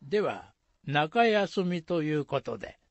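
A man's voice: fairly high pitch, big pitch swings, unhurried, dry recording, dull audio. An actor playing a genial, easygoing village headman with polite, avuncular rural speech in a radio drama.

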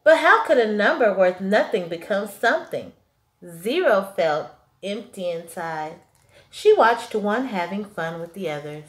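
A young woman reading a children's picture book aloud in an animated, emphatic way, as a teacher would to small children. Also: medium pitch, measured pace, roomy sound. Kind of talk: expressive children's storybook read-aloud. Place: small classroom-like room, indoors.

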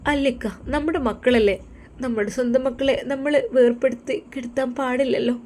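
A woman's voice: high voice, normal speed, a little mellow, a little hiss or hum, voice pushed up, lightly acted. A woman playing a sweet, earnest young wife.

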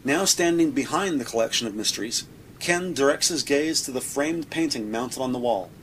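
A man's voice: mid-range voice, expressive, moderate pace, fairly bright tone. A man narrating an audio drama, reading scripted scene description in the present tense. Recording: noisy, sound effects behind voice.

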